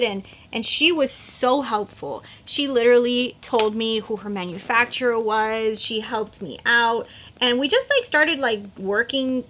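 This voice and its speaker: A woman's voice: on the high side, a bit bright. A woman in her thirties chatting casually while doing her makeup, rambling with lots of likes and fillers. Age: 30s. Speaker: beauty vlogger.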